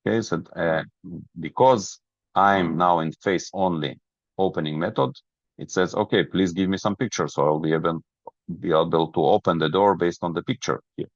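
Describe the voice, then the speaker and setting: A man who is a non-native English speaker presents a software webinar, explaining each step methodically. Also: low-pitched. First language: not English. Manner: methodical, step-by-step, checks understanding. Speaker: webinar presenter, product trainer. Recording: roomy, dull lo-fi webinar audio.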